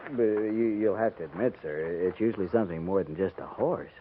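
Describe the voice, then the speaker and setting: An actor playing an earnest, deferential junior cavalry soldier in a 1950s radio drama. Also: male voice, deep voice, fairly quick, lightly acted. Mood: baffled, subdued. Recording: tinny, noisy 1950s radio broadcast.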